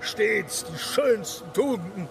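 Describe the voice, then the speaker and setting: A high, gravelly-edged voice swings widely as a pompous, excitable emperor in a radio play. He declaims piously, stretching his words with exalted zeal. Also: slow, music in the background, exaggerated voice. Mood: grandiose. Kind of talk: radio drama acting.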